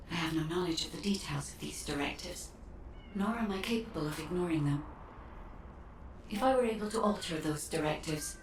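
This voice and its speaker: Woman acting a low, echoing, solemn guide entity. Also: lightly acted. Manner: formal, measured, earnest. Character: female guide entity, solemn, puzzled by humans, earnest. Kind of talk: video game cutscene acting. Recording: roomy, slightly distant, faint noise.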